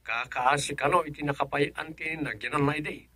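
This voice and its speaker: A man's voice: fairly high pitch, steady tone, moderate pace, sharp tone, dry recording. A male actor playing a caring but exasperated younger man looking after a senile elder in an Ilocano radio drama, with an Ilocano accent. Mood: subdued, explaining.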